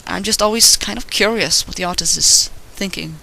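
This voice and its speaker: Bright high-voiced Swedish man, rambling. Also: moderate pace, creaky, poor audio. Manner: rambling, self-deprecating, swears casually. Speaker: digital artist narrating his drawing. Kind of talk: casual commentary over a drawing. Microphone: voice-over recorded while drawing.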